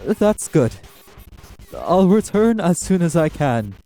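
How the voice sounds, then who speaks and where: A noble male angel, wounded and fading as acted by a man. The voice is raised in pitch and strained, with halting, formal phrases. He is a protective guardian reassuring his ward in an audio drama. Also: sing-song, warm tone, soft background music, heavily acted. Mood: pained, gentle. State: strained, weakened. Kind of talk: dramatic audio roleplay addressed to the listener.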